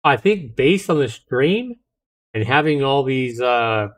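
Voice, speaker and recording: A man's voice: mid-range voice, expressive, slow pace. A middle-aged man hosting a livestream, reading a visual novel aloud with casual asides to viewers and occasional stumbles over the text. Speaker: livestream host.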